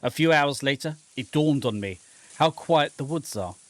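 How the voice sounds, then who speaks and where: A man acting an anxious, tormented submariner, wildly swinging pitch. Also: animated, normal speed, no echo, lightly acted. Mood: uneasy. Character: anxious, tormented submariner narrating his own humiliation. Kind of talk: dramatic reading of a horror visual novel.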